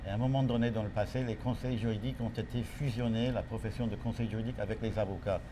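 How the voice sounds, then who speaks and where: A man speaks as an interviewed lawyer. His phrasing is measured and halting as he searches for words, English being his language. Clear ambient noise sits behind him. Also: on the low side, steady tone. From United States. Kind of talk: interview answers.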